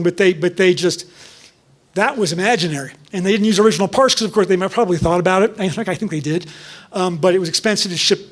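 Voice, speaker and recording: A man giving a public lecture and taking audience questions, talking in a halting way with many restarts and ums. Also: high-pitched. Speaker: guest lecturer.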